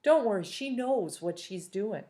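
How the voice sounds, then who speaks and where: A woman's voice: mid-range voice, animated, measured pace, dark tone, pitched above the performer's own voice, close to an everyday voice. A woman doing a sly nimble trickster man. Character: sly nimble male trickster, middle-aged.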